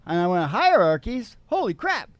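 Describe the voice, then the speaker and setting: A man's voice: sits high, big pitch swings, normal speed, dry recording. A man, co-hosting a comedy music podcast, tells stories in a folksy, meandering, absent-minded way and chats casually. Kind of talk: rambling comic storytelling, casual chat.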